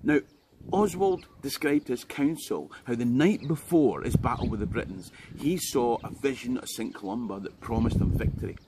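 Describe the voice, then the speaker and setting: A man's voice: mid-range voice, expressive, moderate pace. Joking Scottish man, noisy outdoor take. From Scotland. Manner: jokey and conversational, with asides to the viewer. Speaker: history tour guide. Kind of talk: informal history talk to camera. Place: outdoors on a tidal island. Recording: loud background noise.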